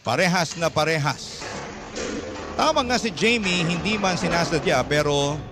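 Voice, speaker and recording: A man's voice: a little high, lively intonation, unhurried. A man narrating a letter-style story in an earnest, emotional manner. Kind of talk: scripted drama narration. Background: loud background music.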